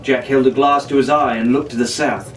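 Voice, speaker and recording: A man's voice: medium pitch, fairly even intonation, fairly quick, fairly bright tone, distant mic. Male storybook narrator, theatrical pirate-captain delivery, roomy recording, faint noise. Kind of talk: scripted dramatic narration reading a children's pirate novel.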